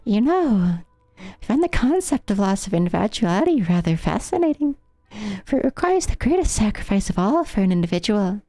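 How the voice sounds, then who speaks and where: A woman's voice: medium pitch, very animated, brisk, mellow, no echo. An American woman with a slight speech impediment and a trained, affected silly voice, casually commentating a game as a live streamer, musing and easily amused. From United States, Rocky Mountain area. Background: video game music.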